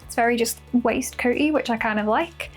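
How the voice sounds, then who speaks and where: A woman's voice: fairly high pitch, normal speed. Casual woman vlogger over music. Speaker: costume-making vlogger. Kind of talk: casual chatty craft vlog with voice-over narration.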